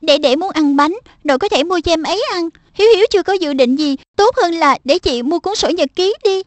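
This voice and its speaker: Woman as a high-voiced bossy big sister. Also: brisk, no room sound. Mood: assertive. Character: bossy, persuasive schoolgirl elder sister. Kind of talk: Vietnamese-dubbed children's cartoon.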